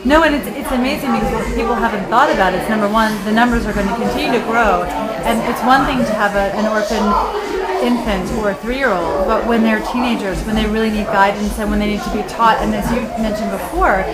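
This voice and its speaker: A woman's voice: mid-range voice, fast, over a noisy crowd. A woman magazine editor interviewing a guest at a party, engaged and affirming. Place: party with music. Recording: echoey room, loud music behind.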